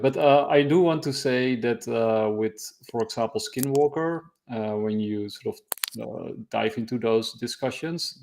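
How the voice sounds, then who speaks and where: A man hosts a casual podcast chat in a gravelly voice. He is hesitant and often restarts his phrases. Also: medium pitch, darkish tone. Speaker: host.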